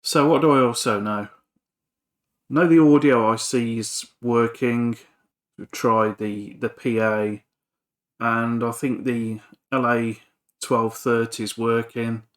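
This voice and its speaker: A man talks in a slow, unhurried way at a medium pitch, like a hobbyist at his workbench. He is hesitant and thinks aloud, pausing often as he casually explains each step. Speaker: electronics hobbyist and repair vlogger. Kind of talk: casual workbench talk-through. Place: home workshop.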